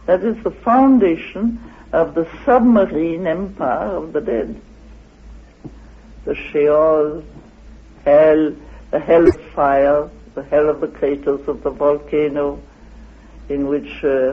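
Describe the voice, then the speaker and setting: A man speaking as an interviewed guest, explaining emphatically and haltingly, repeating phrases for weight. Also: fairly high pitch, fairly even intonation, breathy edge. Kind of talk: impassioned explanatory discussion. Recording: narrow, tinny old radio recording.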